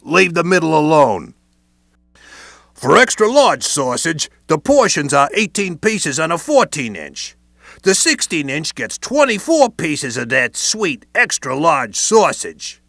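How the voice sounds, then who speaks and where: Brief: gravelly New man, wiseguy instructor, animated delivery. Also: fairly high pitch, a little slow, dry recording, clean studio sound. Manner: Brooklyn wiseguy slang, playful. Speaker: training video presenter. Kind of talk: scripted instructional narration.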